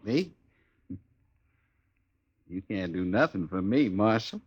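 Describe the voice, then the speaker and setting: An actor playing an insolent, menacing drifter cowboy with an American Western drawl, mock-friendly and needling, in a 1950s radio western. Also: male voice, fairly low pitch, expressive, takes it slowly, husky, exaggerated voice.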